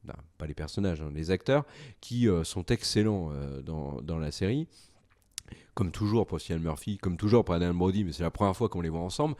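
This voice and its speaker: A man's voice: deep voice, expressive, brisk, dark tone. A man with a French accent giving a solo series review, hesitant, with frequent fillers and restarts. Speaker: video reviewer. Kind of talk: solo casual review to camera.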